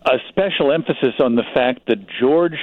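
A man talking as a guest in a radio interview, telling stories in a measured, hesitant way with pauses. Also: medium pitch, dry recording, phone-quality sound. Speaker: guest, magazine publisher.

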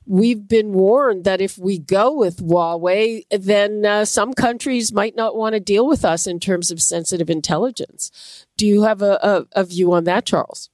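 Female talk radio host, mid-pitch, dry studio sound, casual and a bit halting. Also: darkish tone, no room sound.

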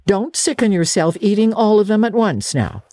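A woman voicing a strict, sharp-tongued older woman who scolds briskly. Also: medium pitch, lively intonation, no echo, clean studio sound, voice pushed up, close to an everyday voice. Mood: stern.

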